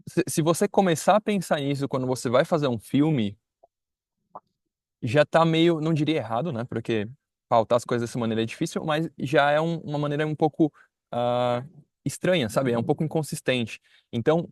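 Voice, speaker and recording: A man's voice: medium pitch, dry recording, studio quality. A young man with a Brazilian accent giving informal interview answers, hesitant and often rephrasing himself. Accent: Brazilian Portuguese. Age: young adult. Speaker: student filmmaker interviewee.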